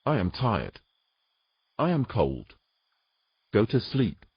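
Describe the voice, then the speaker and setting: A man playing a weary, gruff knight with dry, teasing humour in a romantic fantasy audio drama. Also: fairly low pitch, wildly swooping pitch, slow, dry recording, lo-fi sound, heavily acted. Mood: tired, irritated.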